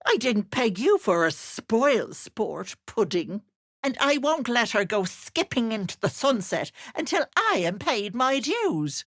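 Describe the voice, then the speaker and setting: A woman's voice: a little high, big pitch swings, a little slow, a bit bright, no room sound, clean studio sound, full character voice. A woman voicing a sly old hag in a video game, sweet-talking with cloying endearments and veiled menace. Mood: sly threatening. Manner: cloying endearments laced with threats. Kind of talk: video game character voice lines.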